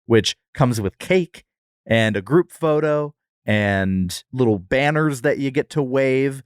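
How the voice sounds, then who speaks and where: A man's voice: mid-range voice, animated, takes it slowly, clear, resonant tone, dry recording, clean studio sound. A man co-hosting a podcast, giving a wry, descriptive review in casual, comedic conversation. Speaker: podcast co-host.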